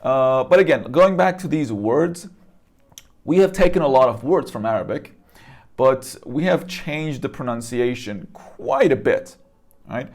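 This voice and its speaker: A man's voice: medium pitch, animated, unhurried, darkish tone. A man speaking casually and conversationally as he explains a subject to viewers, often checking in as he goes. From Iran. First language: Persian. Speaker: presenter.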